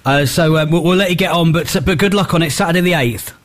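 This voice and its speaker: A man's voice: fairly high pitch, quick pace. A British man presenting on the radio, chatting and interviewing in an informal, rambling, jokey way.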